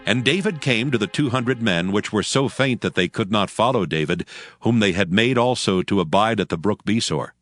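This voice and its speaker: A man narrating scripture as a scripted audio drama, with solemn, measured storytelling. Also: fairly low pitch, expressive, fairly bright tone. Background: music bed.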